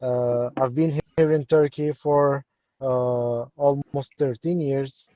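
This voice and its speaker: A man's voice: medium pitch, fairly even intonation, slow pace, dark tone, dry recording. A middle-aged man with an Egyptian accent talking casually about himself as an interview guest, hesitant and agreeable. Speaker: guest, English instructor. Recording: dull, dry live-stream audio.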